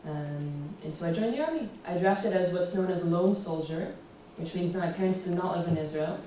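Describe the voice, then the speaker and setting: A young American woman giving a relaxed public talk with slides, often pausing with "um". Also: lowish voice. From United States. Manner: relaxed, fills pauses with ums. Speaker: guest speaker. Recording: roomy echo, distant, faint hiss.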